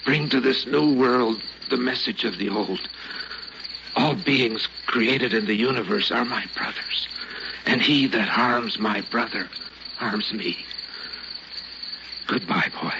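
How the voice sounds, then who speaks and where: An actor playing a gentle, selfless old desert prophet in an old-time radio drama, speaking in solemn scriptural phrases. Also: male voice, medium pitch, takes it slowly, creaky, pauses often. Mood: weary, prophetic. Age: older. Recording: dull old radio recording, noisy background.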